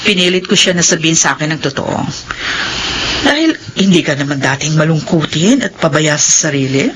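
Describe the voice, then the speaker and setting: A woman's voice: deep voice, expressive, fairly quick. Firm, encouraging lady boss, noisy recording. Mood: concerned. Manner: firm, authoritative. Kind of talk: radio drama acting.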